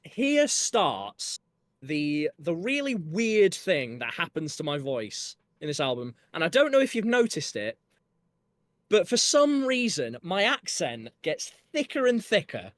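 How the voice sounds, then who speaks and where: A man's voice: a little high, very animated, measured pace, fairly bright tone, dry recording. A young man with a Yorkshire accent talks about his own music in a rambling, casual way, full of fillers and restarts. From Northern England. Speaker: musician guest discussing his album. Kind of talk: casual chat.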